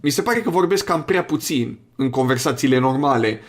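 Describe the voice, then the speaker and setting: A man's voice: mid-range voice, expressive. A man hosting a gaming video, chatting casually in a crude, profane, rambling way. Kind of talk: casual let's play commentary.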